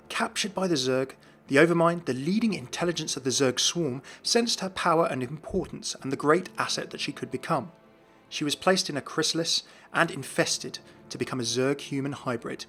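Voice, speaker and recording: A man's voice: medium pitch, a bit bright. A man hosting a video, giving scripted narration of video game lore.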